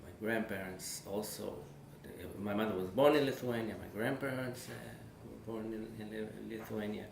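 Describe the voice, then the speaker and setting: Low, gravelly Israeli man, halting speech. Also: fairly even intonation, a little mellow. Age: 40s. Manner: halting, searching for words, repeats word beginnings. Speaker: interviewee sharing family history. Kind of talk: personal oral-history recollection. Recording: echoey room with faint background noise.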